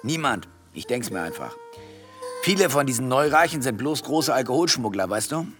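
An actor playing an arrogant, suspicious rich husband in a radio play, blunt and condescending. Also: male voice, mid-range voice, big pitch swings, normal speed, a bit bright. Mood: sneering, suspicious. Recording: music and crickets behind the voice.

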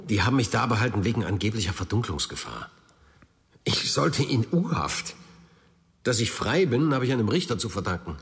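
A man acting in a radio crime drama as a defensive, evasive middle-aged ex-journalist, indignant and husky, in a naturalistic style. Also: fairly low pitch, big pitch swings, brisk, heavily acted.

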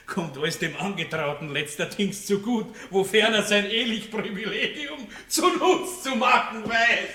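A man's voice: high voice, moderate pace, hardly any pauses, pushed and bright, echoey room. A man playing a pompous, condescending aristocratic baron in a radio play. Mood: smug. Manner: pompous, affected French phrases. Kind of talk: 1960s radio play acting.

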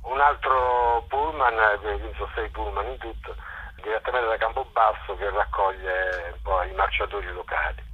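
A man's voice: on the low side, normal speed, slightly rough. A middle-aged man from Molise in Italy talking informally as an interview guest, hesitating and restating as he explains and tells his story. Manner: hesitant, self-correcting, chatty storytelling. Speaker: interview guest, general coordinator of a walking event. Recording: dull, telephone-like radio interview line.

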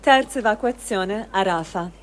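A woman playing a resigned displaced person, reading a first-person diary in a measured, sober way. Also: mid-range voice, a little hiss or hum, lightly acted. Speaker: reader of testimony.

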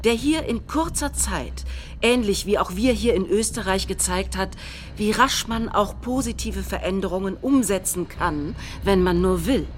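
A woman acting in a radio play, reciting a politician's speech in a formal, measured manner. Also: mid-range voice, animated, slight background noise, close to an everyday voice. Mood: formal, courteous. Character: formal politician welcoming allies at a rally.

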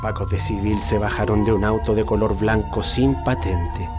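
A man's voice: fairly low pitch, flat delivery, normal speed, lightly acted. An actor playing a grieving Chilean man giving a somber, colloquial testimony in a radio drama. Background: music.

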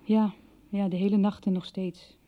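A woman's voice: mid-range voice, little pitch movement, normal speed, narrow, tinny audio, close to an everyday voice. An actress playing a worried, suffering woman with a plaintive manner in a radio soap. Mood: pained, despairing. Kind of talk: 1990s Dutch radio soap acting.

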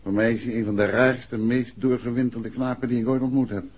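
An actor playing a calm, probing amateur detective in a 1960s Dutch radio play, with polite, measured questioning. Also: male voice, medium pitch, breathy edge, lightly acted. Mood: relaxed, musing. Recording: muffled vintage 1960s radio recording.

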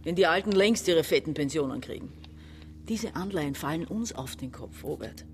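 A woman's voice: low-pitched, expressive, moderate pace, slight background noise. Bitter fortysomething lady in a radio drama, reproachful, voice goes up and down a lot. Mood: resentful, agitated. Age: middle-aged. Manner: reproachful, insistent. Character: embittered, frustrated educated woman around forty. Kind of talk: radio play dialogue and monologue.

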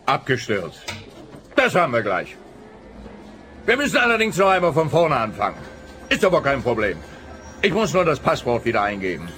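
A fussy rambling cop in a comedy radio drama, sharp bright voice, swings up and down a lot. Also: male voice, mid-range voice, very animated, moderate pace, long pauses, a little hiss or hum. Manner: fussy, digressive, self-amused. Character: scatterbrained, rambling, pompous male police officer. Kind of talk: comic detective radio play acting.

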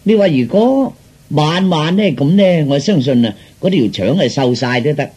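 A man with a Cantonese accent telling a folk story in a colloquial, animated way, acting out the dialogue with drawn-out particles. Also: fairly high pitch, breathy edge.

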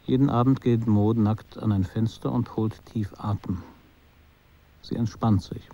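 An actor playing a man who narrates his memories in a literary radio drama, measured and understated, in slightly muffled sound. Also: on the low side, fairly even intonation, mellow. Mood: reflective. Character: male narrator recalling a woman he knew.

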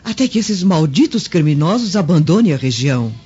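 An actress playing a devoted, anxious maidservant in a radio drama, earnest and deferential. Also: female voice, deep voice, big pitch swings, brisk, dark tone, husky, faint music behind, exaggerated voice. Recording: muffled lo-fi recording.

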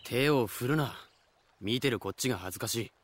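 Haughty, sneering nobleman, mid-pitched man acting. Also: a little fast, natural voice. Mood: exasperated. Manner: imperious, sneering, archaic formal speech. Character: haughty, scornful, prickly nobleman stripped of rank. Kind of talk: Japanese audio drama acting.

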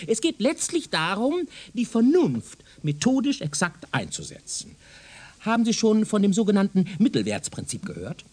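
An actor playing a self-assured, pedantic professor from the future in a German radio drama, lecturing in a condescending way. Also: male voice, high-pitched, huge pitch swings, normal speed, darkish tone, full character voice. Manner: pedantic, didactic, rhetorical.

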